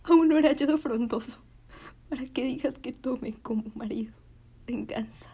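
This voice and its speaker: Woman acting a breathy, wronged young village woman. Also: sits high, mellow. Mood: anguished, reproachful. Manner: slow, declamatory, anguished. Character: wronged, defiant young village woman rebuking cowardly men. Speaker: drama student actor. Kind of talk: classical Spanish verse monologue, theatrical delivery.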